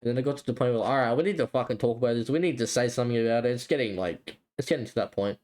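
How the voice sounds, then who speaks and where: A man's voice: mid-range voice, racing, a little mellow. A man co-hosting a podcast in casual chat, explaining things in a hesitant, rambling way. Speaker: podcast co-host.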